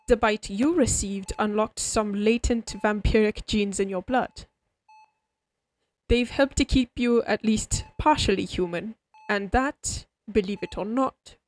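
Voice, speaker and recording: A woman's voice: mid-range voice, lightly acted. Woman playing a refined, courteous vampire doctor. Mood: grave, reassuring. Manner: formal, measured, courteous bedside explaining. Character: refined, oddly friendly vampire doctor, centuries-old aristocratic healer. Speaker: doctor character in a roleplay audio. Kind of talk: scripted comfort roleplay, spoken directly to listener.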